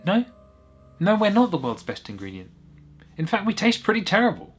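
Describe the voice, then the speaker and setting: A man's voice: high-pitched, wildly swooping pitch, normal speed, faint music behind, pitched above the performer's own voice. A man voicing easily startled magic chickpeas in a short story, touchy and self-deprecating.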